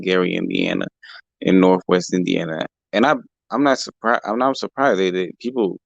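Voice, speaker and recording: A young man hosts a livestream from home, his speech heated, slangy and full of repeated words. His voice sits low with a gravelly fry and sounds a little rough from allergies. The mic is close and the sound is dry, with no room echo. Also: lo-fi sound. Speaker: livestream host. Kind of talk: casual livestream rant. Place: home in California.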